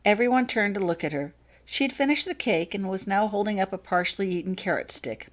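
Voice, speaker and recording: A woman's voice: medium pitch, a little fast. A woman reading scripted audiobook fiction as the author of the story.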